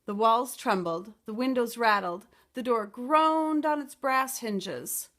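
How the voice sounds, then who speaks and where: A woman reading a children's picture book aloud as a storytime teacher, expressive and explanatory. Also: fairly high pitch, slow pace.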